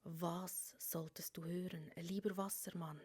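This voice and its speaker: A woman giving a tarot reading for a star sign, speaking softly and often dropping into a whisper over the cards. She has a cold. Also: on the low side, steady tone, unhurried, husky. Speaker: tarot reader.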